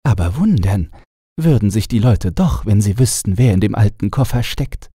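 A man voicing a tiny, lively, mischievous fairy princess in a storybook, exclaiming playfully. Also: on the low side, moderate pace, breathy edge, no echo, clean studio sound, voice pushed up. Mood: playful alarm.

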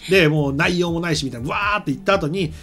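A man's voice: fairly high pitch, quick pace, a bit bright, faint music behind. A middle-aged man hosting a show, chatting casually and thinking aloud as he rambles through announcements. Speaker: show host and scriptwriter. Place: small studio set, indoors. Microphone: desk microphone on a stand.

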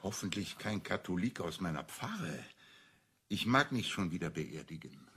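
Learned priest, lowish husky voice, lively intonation, dry mock-solemn wit, radio crime drama. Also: male voice, moderate pace, heavily acted. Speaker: actor in a radio play. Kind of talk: 1980s German radio crime play acting.

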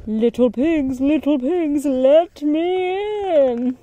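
A menacing, hungry storybook wolf, played by a woman, high-pitched and blustering. He stretches out his threats and taunts loudly. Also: no room sound, lo-fi sound. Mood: coaxing, demanding. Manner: loud, drawn-out threats and taunts.

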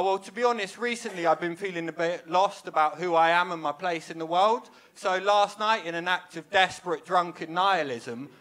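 A young man from England performing a stand-up set on a small club stage into a handheld mic, with a wry, self-deprecating deadpan delivery. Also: high-pitched. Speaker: stand-up comedian. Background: audience laughter.

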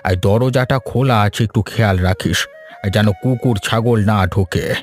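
A man's voice: on the low side, measured pace, natural voice. A man voicing a caring, watchful older village father in a cartoon story. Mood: fussing. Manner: fatherly, mildly fussing. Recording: music bed behind.

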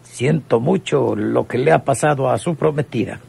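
Very gravelly, hemming mayor. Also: male voice, medium pitch, moderate pace, background noise. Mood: officious, hesitant. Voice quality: gravelly, husky. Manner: constant "eh" hesitations, stammering officialese. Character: hesitant, formal, official town mayor. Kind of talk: old radionovela acting.

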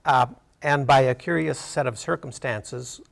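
Montana guy in his 60s, american accent, gravelly medium voice, explaining stuff casually in an interview. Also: mid-range voice, a little slow. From Montana, United States. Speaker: interview guest, theater writer and director. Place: TV studio.

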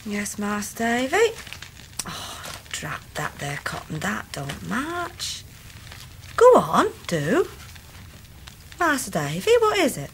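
An actress playing a warm, plain-spoken country nursemaid with a rural English accent in a radio drama. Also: female voice, medium pitch, wildly swooping pitch, slow pace, long pauses, a little hiss or hum, heavily acted. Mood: affectionate.

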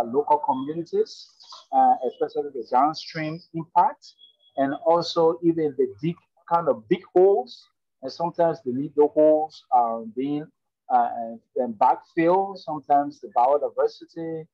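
A man's voice: on the high side, no room sound. Man on a dull, dry video call. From Liberia. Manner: earnest, many fillers and restarts. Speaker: webinar participant asking a question. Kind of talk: earnest commentary on a video call. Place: public space, indoors.

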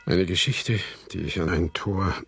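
A man playing a world-weary fugitive telling his family saga in a radio drama, husky, measured and brooding. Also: deep voice, fairly even intonation, a bit bright, soft background music, exaggerated voice.

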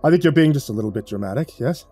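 A man voicing a calm, formal creature keeper who speaks in measured, unhurried sentences, in a storybook narration. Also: mid-range voice, breathy edge, dry recording. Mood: stern, dry.

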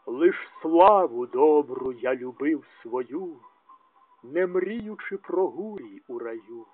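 Guy reciting poems really dramatically n slow, kinda high voice, loud then quiet, sounds like thru a phone. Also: animated. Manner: declamatory, with strong rises and drops in loudness. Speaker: translator reading own poetry translations. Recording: tinny, narrow phone-like sound.

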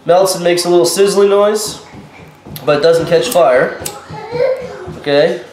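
A man's voice: sits high, unhurried. A man in his thirties with a head cold, talking indignantly to the camera in his home kitchen as a vlogger. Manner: indignant, warning tone. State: stuffed up with a cold. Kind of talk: casual home vlog. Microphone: camera mic at a short distance. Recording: echoey kitchen, faint noise.

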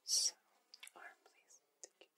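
A young woman whispering in an ASMR medical roleplay, giving hushed, soft instructions step by step as an examiner. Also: slow pace, noisy background. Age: young adult. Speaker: ASMR performer role-playing a medical examiner. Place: small indoor studio with dark backdrop.

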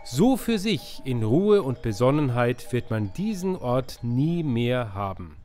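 A man's voice: medium pitch, big pitch swings, takes it slowly, dark tone, soft background music. A man in his forties presenting a travel vlog, talking and narrating as he shows places. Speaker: travel vlogger.

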